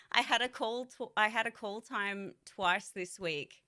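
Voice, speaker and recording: A woman's voice: medium pitch, slow, sharp tone. A young woman voice actor chatting frankly in a casual vlog, swearing freely and restarting her sentences as she talks. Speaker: voice actor and streamer. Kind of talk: candid casual vlog chat.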